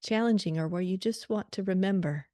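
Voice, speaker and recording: A woman leading a guided meditation prayer in a calm, soothing manner, leaving gentle pauses between short phrases. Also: mid-range voice, dry recording, studio quality.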